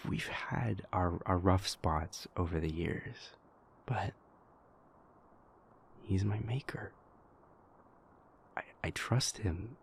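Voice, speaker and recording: A man playing a wounded, devoted vampire prince in an intimate audio roleplay, speaking haltingly with repeated words in a husky, gravelly voice. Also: low-pitched, a little hiss or hum, exaggerated voice. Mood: hurt, frustrated.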